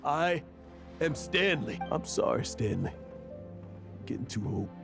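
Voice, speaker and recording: A man's voice: mid-range voice, sing-song, measured pace, vocal fry, fairly bright tone, exaggerated voice. A man playing an aging, blustering adventurer weighed down by guilt, in an anime game. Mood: anguished grief. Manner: blustering, stammering, maudlin. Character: aging braggart adventurer, guilt-ridden and grieving. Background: game music.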